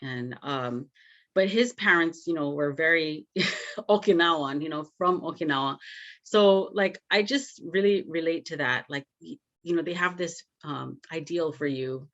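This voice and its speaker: A woman's voice: low-pitched, a little slow, fairly bright tone, muffled recording. A woman hosting a podcast interview, talking casually and effusively with many "you know" fillers. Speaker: podcast host.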